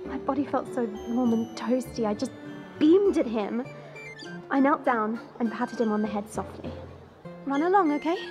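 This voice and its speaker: A woman's voice: on the high side, animated, normal speed, music in the background, lightly acted. A woman playing a proud, sardonic young dragon woman who narrates an audio drama in a chatty, confiding way. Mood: tender. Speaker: narrator and lead character.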